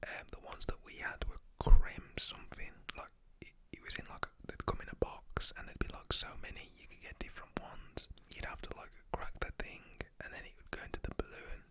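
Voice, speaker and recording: A man's voice: a little fast, hardly any pauses. Whispering young man. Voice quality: whispered, breathy voice without voicing. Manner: informal, rambling, slangy. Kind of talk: whispered ASMR storytelling.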